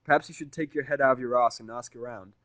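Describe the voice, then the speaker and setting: A man's voice: medium pitch, fairly even intonation, fast talker, a bit bright, dry recording, natural voice. A man playing a defiant, foul-mouthed human who bluffs and scolds in a brash, sarcastic, confrontational way in an audio story. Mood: scolding.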